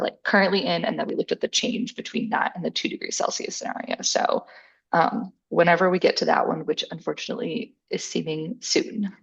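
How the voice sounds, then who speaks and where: A woman's voice: sits low, vocal fry, husky, muffled recording. A young woman in her twenties, a graduate student answering questions casually, hedging with "like".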